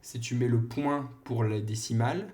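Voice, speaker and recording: A man's voice: mid-range voice, moderate pace, a little mellow. A man in his thirties co-hosting a podcast, telling rambling stories in casual chat and laughing often. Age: 30s. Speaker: podcast co-host.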